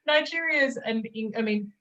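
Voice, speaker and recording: A woman's voice: on the high side, a bit bright, highs cut off. Friendly woman host interviewing a guest. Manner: friendly, laughs readily. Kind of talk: conversational interviewing.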